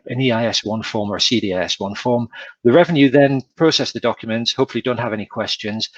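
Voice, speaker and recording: A man's voice: mid-range voice, a bit bright, no room sound. Man explaining tax, dull dry audio. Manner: methodical, explains step by step. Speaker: tax adviser panelist. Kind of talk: expert explanation on a panel.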